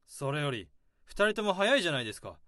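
A man's voice: a little high, big pitch swings, quick pace, bright, forceful tone, no room sound, studio quality. An actor playing a nervous, overworked office worker, switching between flustered dialogue and tense first-person inner monologue. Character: overworked nervous office drudge man hiding a secret. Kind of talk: radio drama acting with narration.

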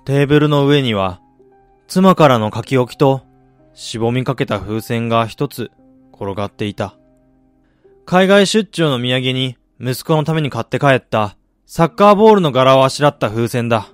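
An actor playing a weary middle-aged failed businessman, narrating his regretful past in a measured, reflective way for a radio drama. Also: medium pitch, animated, smooth, no room sound, natural voice. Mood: somber, reflective. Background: music.

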